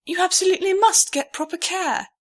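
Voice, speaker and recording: A woman's voice: very high voice, a little fast, voice pushed up. A woman voicing a proper, concerned middle-aged female pony in an audiobook.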